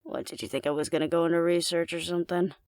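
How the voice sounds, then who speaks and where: Woman playing a flirty, gravelly alpha werewolf woman. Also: on the low side, fairly even intonation, quick pace, darkish tone, no echo, close to an everyday voice. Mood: defiant, teasing. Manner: teasing, coaxing, playfully domineering. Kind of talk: intimate scripted audio roleplay addressed to the listener.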